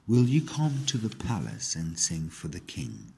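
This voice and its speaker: A man's voice: low voice, wildly swooping pitch, measured pace, vocal fry, warm tone, a little hiss or hum, exaggerated voice. A man voicing a king's dutiful, plain-spoken servant in a storybook, in a matter-of-fact manner. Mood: polite.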